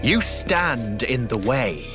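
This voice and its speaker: An actor playing a zealous, contemptuous villain in a video game, declaiming with pompous theatricality. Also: male voice, mid-range voice, sing-song, slow, over music. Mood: smug, menacing. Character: haughty zealous male villain, grandiose and contemptuous.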